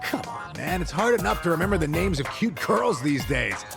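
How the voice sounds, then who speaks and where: Wry, high-pitched, creaky sidekick. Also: male voice, big pitch swings, a little fast, over music. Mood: puzzled, wry. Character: wry, casual male street-fighting sidekick. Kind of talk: video game cutscene dub acting.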